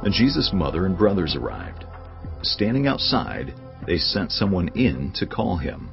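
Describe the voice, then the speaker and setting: Very deep, creaky male scripture narrator. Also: sing-song, lo-fi sound. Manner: solemn, deliberate storytelling. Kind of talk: dramatized audiobook scripture narration. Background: background music.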